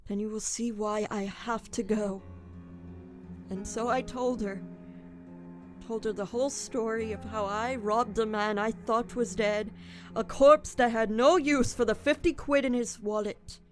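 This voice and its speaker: Radio drama lead: guilt-ridden young petty thief female performer, raised pitch, unhurried, brooding narration. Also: fairly even intonation, faint music behind, natural voice. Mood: troubled. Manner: brooding, dramatic first-person confession.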